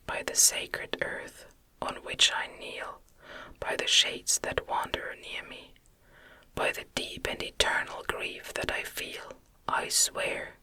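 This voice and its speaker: A woman reads classic gothic fiction entirely in a breathy whisper. Her delivery is gentle and soothing, very unhurried, with a sharp, bright hiss to the words. It is storytelling made for relaxation. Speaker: ASMR narrator reading an audiobook. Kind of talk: whispered ASMR reading of a gothic novel.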